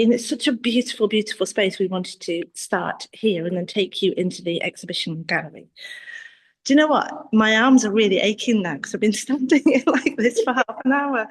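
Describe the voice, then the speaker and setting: A woman presenting a live walk-through on a video call, informal and enthusiastic. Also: medium pitch. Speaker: roving presenter filming a gallery tour. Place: indoors in a gallery landing and exhibition space. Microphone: handheld device on a video call.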